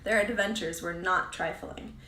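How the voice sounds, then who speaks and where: A woman voicing a mysterious, serene and knowing lady stranger in a storybook, calm and assured. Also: lowish voice, a little slow, a little hiss or hum. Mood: serene, cryptic. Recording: roomy echo, distant from mic.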